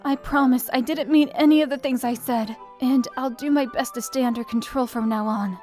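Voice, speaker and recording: A woman's voice: high voice, steady tone, normal speed, a little mellow, faint music behind, close to an everyday voice. A woman voicing a shy, anxious teenage schoolgirl in an anime visual novel fan dub, hesitant, stammering and polite. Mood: apologetic.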